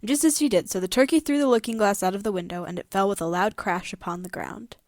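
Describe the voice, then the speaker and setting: A woman with a middle-pitched voice co-hosts a casual story podcast. She chats and reads passages aloud. The sound is dry and close, free of room echo. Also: quick pace. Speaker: podcast co-host.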